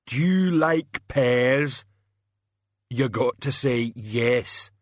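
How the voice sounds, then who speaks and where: A huge, simple-minded henchman in an audiobook story. He rumbles out his words very slowly, with childlike insistence, in a close, dry recording. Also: no room sound. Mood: slow, menacing. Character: slow, simple-minded hulking male henchman. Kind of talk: audiobook character acting.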